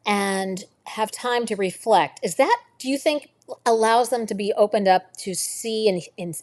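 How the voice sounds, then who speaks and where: A woman's voice: mid-range voice, darkish tone. A woman hosts a live book club interview over a video call. She asks her questions and keeps the talk moving. She encourages her guest with frequent mm-hmms.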